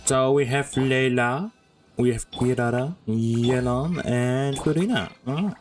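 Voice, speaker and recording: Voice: mid-range voice, a little slow, faint music behind. A streamer playing a game and giving casual, informal, rambling commentary.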